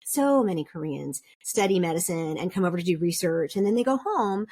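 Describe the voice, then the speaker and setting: A woman's voice: lowish voice, animated, brisk, a little mellow. A middle-aged woman talking as a podcast guest, chatting casually and laughing often while she explains with examples. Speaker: podcast guest, DISC consultant. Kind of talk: casual interview chat.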